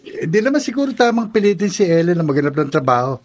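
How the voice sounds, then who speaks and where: A young Filipino boyfriend in a radio drama, earnest and defiant. His voice is high for a man and very lively in pitch. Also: quick pace. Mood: defensive, earnest. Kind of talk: Tagalog radio drama acting.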